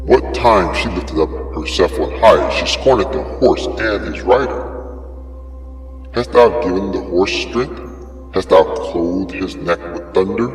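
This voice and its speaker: A man giving a solemn, theatrical dramatic reading of archaic scripture, posing grand rhetorical questions. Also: low voice. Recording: echoey long reverb over music. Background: music.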